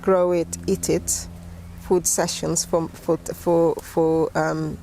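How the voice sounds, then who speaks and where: A middle-aged London woman with a slightly low voice speaks as a guest in a conversational interview. She recounts things haltingly, often doubling back on words, over noticeable background noise. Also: level tone, mellow. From West London, England. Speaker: interview guest, gardening teacher.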